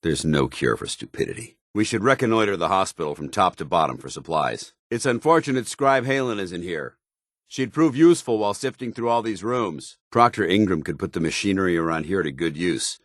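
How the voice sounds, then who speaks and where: Stern military paladin, low-pitched voice, formal clipped delivery, dutiful and disciplined, video game companion, close dry sound. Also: male voice, expressive, fairly quick, a bit bright, no echo. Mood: grave. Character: stern, dutiful armored soldier paladin, grown man, devout and disciplined. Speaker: companion character. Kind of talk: scripted video game dialogue.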